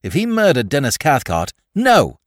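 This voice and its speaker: An earnest male detective from a novel , as a man reading aloud acts him. He is protective and distressed, pleading with formal courtesy and emphatic repetition. The delivery is very varied in pitch, in a dry space. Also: wildly swooping pitch, moderate pace, no room sound. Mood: imploring.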